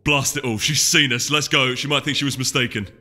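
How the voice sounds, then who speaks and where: Casting: man as irritable storybook Pegasus warrior, curt commands, forceful delivery, hall reverb. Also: medium pitch, fairly even intonation, a little fast, pitched above the performer's own voice. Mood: irritated. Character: gruff, irritable big male Pegasus soldier. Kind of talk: audiobook character voice. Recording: echoey hall reverb, music behind.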